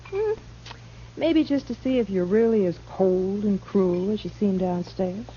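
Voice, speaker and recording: A woman playing a coy, soft-hearted young saloon woman, speaking gently in a 1950s western radio drama. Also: medium pitch, lively intonation, a little slow, lightly acted. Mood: coy, concerned. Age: young adult. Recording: muffled old radio, faint noise.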